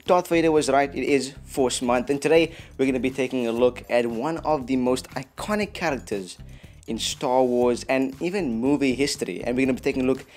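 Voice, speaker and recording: A man's voice: medium pitch, fairly quick, darkish tone, faint music behind. A young man presenting a casual tutorial in a jokey, self-deprecating, chatty way. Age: young adult. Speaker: host of a video effects tutorial channel. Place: small dim room indoors.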